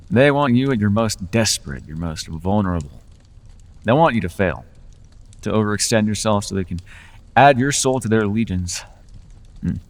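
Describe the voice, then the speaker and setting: A man playing a silky, coaxing fey lord. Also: fairly low pitch. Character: charming manipulative male fey lord. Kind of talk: ASMR roleplay. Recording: long reverb.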